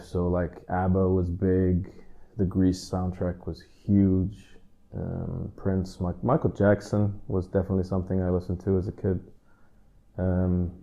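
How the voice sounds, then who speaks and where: A man in his thirties from the Netherlands, a drummer answering interview questions in a relaxed, rambling way with many asides. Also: deep voice, lo-fi sound. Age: 30s.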